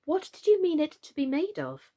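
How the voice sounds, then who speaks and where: A woman's voice: high voice, huge pitch swings, moderate pace, dark tone, voice pushed up. A woman voicing a polite, curious young girl in a children's storybook, puzzled and questioning.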